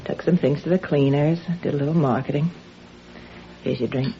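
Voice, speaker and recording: A woman's voice: low voice, normal speed, slight background noise. An actress playing an anxious, guilt-ridden married woman in a radio drama, speaking with hesitant, defensive phrasing. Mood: evasive. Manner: hesitant, faltering. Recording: dull radio-drama recording.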